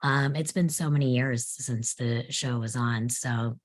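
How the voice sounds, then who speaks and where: A woman's voice: rumbling low, fairly even intonation, measured pace. A woman talking in a casual group chat.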